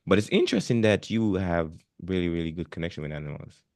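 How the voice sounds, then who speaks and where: Deep, gravelly, fast-talking man from Jamaica. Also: no room sound. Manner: warm listener, frequent murmured agreement. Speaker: podcast host. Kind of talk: casual interview.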